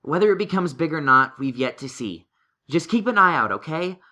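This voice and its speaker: A man's voice: fairly high pitch, expressive, brisk, pushed and bright, voice pushed up. A man voicing a studious, earnest young male unicorn pony in a fan-fiction audio story, speaking in an emphatic, analytical way. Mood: wary, thoughtful.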